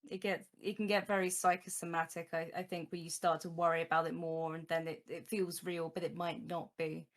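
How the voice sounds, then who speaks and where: Woman, podcast host, lowish voice, earnest, reassuring tone. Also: level tone, brisk. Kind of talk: supportive conversational chat.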